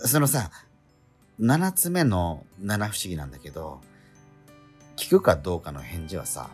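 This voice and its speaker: A man's voice: deep voice, animated, measured pace, slightly rough, soft background music, heavily acted. A man playing a bemused, good-natured transfer-student schoolboy, reacting like a puzzled straight man in a radio drama. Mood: gentle, sincere.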